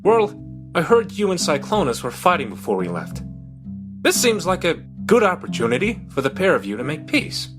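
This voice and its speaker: A man playing a firm but fair robot ship captain in an audio drama, speaking with measured authority. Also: fairly high pitch, big pitch swings, over music. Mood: commanding.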